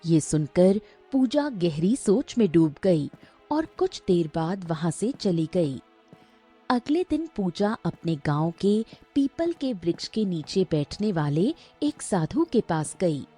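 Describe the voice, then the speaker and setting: A woman narrates a folk tale slowly and dramatically in a mellow voice with plenty of melody. Soft music plays behind her. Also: lowish voice, sing-song, husky. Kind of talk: dramatic scripted story narration.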